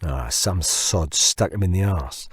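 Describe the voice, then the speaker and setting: Audiobook role: burly bossy diver, gruff and throaty, blunt banter, very dry sound man narrator acts it. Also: deep voice, measured pace, dry recording, heavily acted. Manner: blunt, sweary, shouting orders.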